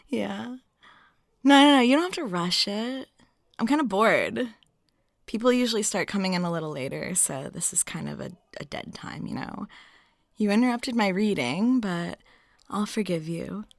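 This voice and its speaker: A woman performing an intimate roleplay as a friendly, flirty waitress, chatty and giggly, with her voice often dropping soft and breathy. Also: mid-range voice, no echo, clean studio sound. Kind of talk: intimate ASMR-style roleplay monologue.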